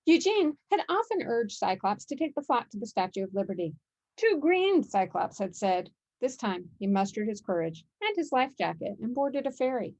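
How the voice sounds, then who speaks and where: A woman's voice: medium pitch, a little mellow, dull audio. A woman narrating a children's picture book aloud as its author.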